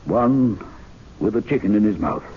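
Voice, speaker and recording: An actor playing a sober, reflective club member with a formal, measured manner in a radio mystery drama. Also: male voice, on the low side, animated, close to an everyday voice. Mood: wondering. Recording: dull vintage radio recording with hiss.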